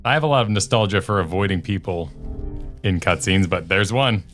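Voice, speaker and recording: A man's voice: deep voice, brisk, over music. A man co-hosting a video game show, bantering casually with dry, playful quips.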